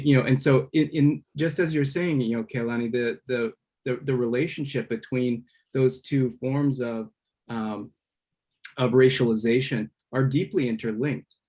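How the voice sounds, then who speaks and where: Male moderator on a video call, halting and filler-heavy, mid-range pitch. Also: darkish tone. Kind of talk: online panel moderation.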